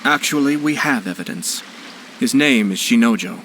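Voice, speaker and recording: An actor playing a composed, shrewd young nobleman in a video game, speaking in a measured, formal, analytical way. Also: mid-range voice, lively intonation, noisy background, close to an everyday voice. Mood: calm, calculating. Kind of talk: scripted video game character dialogue. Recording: music behind the voice.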